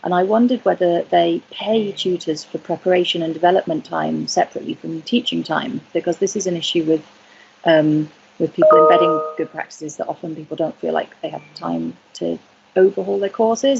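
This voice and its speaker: A woman's voice: on the low side, fairly even intonation, brisk, gravelly. A woman attendee speaking casually on an online webinar as she asks a question. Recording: dull, noisy webinar connection.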